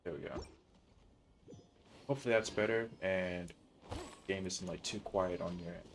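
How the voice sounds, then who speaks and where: A man's voice: low-pitched, steady tone, moderate pace, a little hiss or hum. A man chatting casually on a livestream as he plays a game, rambling and thinking aloud in informal slang. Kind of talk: casual livestream chat while playing. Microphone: streaming mic with noise suppression. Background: game music.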